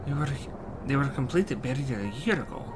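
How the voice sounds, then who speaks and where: A man's voice: medium pitch, expressive, a little fast. A man streaming a video game, reading its dialogue aloud and adding his own commentary, sometimes stumbling and repeating words. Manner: acts out on-screen dialogue, with slips and repeats. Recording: game music under the voice. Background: video game music.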